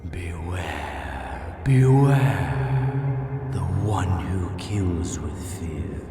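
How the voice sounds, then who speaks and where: A man playing a menacing supernatural fog in a horror audio drama, reciting slowly and methodically in an airy, cunning voice with heavy reverb. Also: deep voice, lively intonation, exaggerated voice. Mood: ominous warning. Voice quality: airy, breathy. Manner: slow, methodical, ominous recitation. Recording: cavernous long reverb, distant, music behind.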